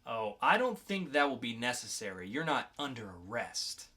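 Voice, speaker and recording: A man acting a calm, probing interrogator with a formal, measured delivery in a dramatic comic-book reading. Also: medium pitch.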